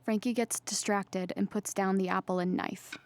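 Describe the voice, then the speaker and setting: A woman's voice: medium pitch, steady tone, normal speed. A woman reading scripted stage directions in a neutral, plain way over a noisy recording. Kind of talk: scripted narration.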